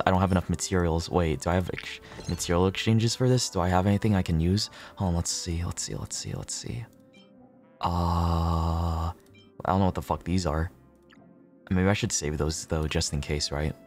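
Deep-voiced man on a casual gaming stream. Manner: casual, sweary, repeats himself, thinks aloud. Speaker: livestreamer. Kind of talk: casual gaming livestream chat with viewers.

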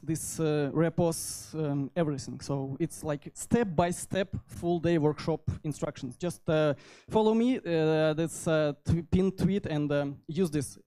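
A man in his thirties with a Russian accent giving an informal technical talk into a handheld microphone, halting often as he goes. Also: a little high, warm tone. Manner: halting delivery with frequent hesitations. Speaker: conference speaker, software developer. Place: indoor meetup venue.

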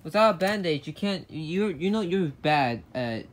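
A man's voice: on the high side, lively intonation. A man voicing plush-toy characters in plain, everyday conversation. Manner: slow, plain conversational delivery. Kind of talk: amateur plush-toy drama dialogue.

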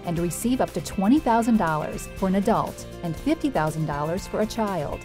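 A woman's voice: medium pitch, expressive, a little fast. A woman reading a scripted commercial voice-over as an announcer. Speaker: advertisement announcer. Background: music.

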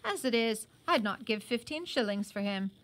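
A woman's voice: a little high, expressive, natural voice. Woman reading as an arrogant, hearty young British officer. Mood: brisk, hearty. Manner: brisk, commanding, barked questions and orders. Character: arrogant, hearty young British army lieutenant, good-humoured under bluster.

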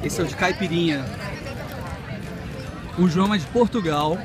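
A Brazilian man in his thirties, hosting a chatty, excited vlog, recorded on a phone held at arm's length outdoors in a city park. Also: sits high, normal speed, over music, a crowd in the background. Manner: chatty, excited, lots of "né?" tags. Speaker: vlogger host. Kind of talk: upbeat vlog chat.

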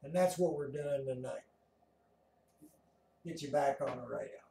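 Slow-talking older American man in a room. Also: medium pitch, creaky, dark tone, a little hiss or hum. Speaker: amateur radio operator, video host. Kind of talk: casual explanatory chat. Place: small home radio room, indoors. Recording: roomy, distant from the mic.

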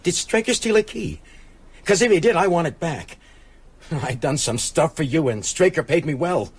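An actor playing a gruff, shady small-town American businessman in a radio drama, with a husky voice and American accent. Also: on the high side, lively intonation, normal speed. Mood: tough, wary.

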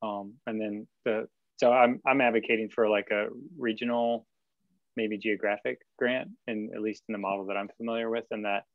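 A man's voice: on the low side, little pitch movement, normal speed, no echo. A man in his thirties speaking casually as a panelist on a video call from a studio, with loose phrasing and frequent fillers. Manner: loose, filler-heavy, restarts. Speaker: panelist artist. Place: studio workspace. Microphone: video call webcam. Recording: dull dry webcam audio.

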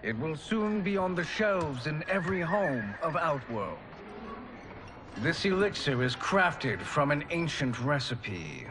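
An actor playing a scheming male sorcerer posing as a kindly old peddler, with a smooth, theatrical sales-pitch delivery, in a video game cutscene. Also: big pitch swings, slow pace, noisy background. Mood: persuasive.

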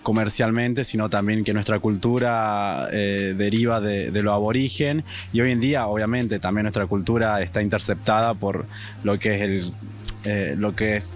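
A man in his twenties with a northern Argentine accent, a student artist answering earnestly in an interview. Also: on the low side. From Salta, northern Argentina. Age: 20s. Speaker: student artist and stage director. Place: theatre stage with curtains. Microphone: handheld reporter microphone. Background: music.

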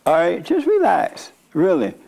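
A middle-aged man leading a church question-and-answer session from a studio set, blunt and preachy, answering in quick plain declarations. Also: high-pitched, huge pitch swings, vocal fry, dark tone, slightly rough. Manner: blunt, preachy, quick asides and mock-prayer voices. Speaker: pastor. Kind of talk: sermon-style Q&A with audience. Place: dark studio set, seated by small table.

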